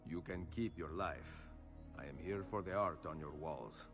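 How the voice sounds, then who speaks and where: An actor playing a determined, terse Italian assassin with an Italian accent in a video game. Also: male voice, rumbling low, measured pace. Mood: cool menace. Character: determined, stern Italian master assassin, male. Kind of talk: video game cutscene acting. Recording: music behind the voice.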